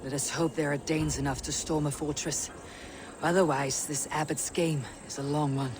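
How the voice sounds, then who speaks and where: Man as a high-voiced, blunt Viking war leader. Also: level tone, normal speed, natural voice. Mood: dry, scornful. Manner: terse, commanding, threatening. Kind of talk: video game voice acting.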